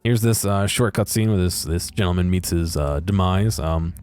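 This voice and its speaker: A man's voice: deep voice, moderate pace, a little mellow, faint music behind. A man giving casual, unscripted commentary over game footage, with a hesitant, rambling and understated way of talking. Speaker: video creator commentating on game exploration.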